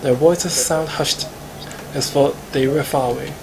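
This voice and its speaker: Higher-pitched Hong Kong man reading aloud. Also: steady tone, measured pace, creaky, background noise. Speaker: streamer reading the protagonist. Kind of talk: live visual novel read-aloud.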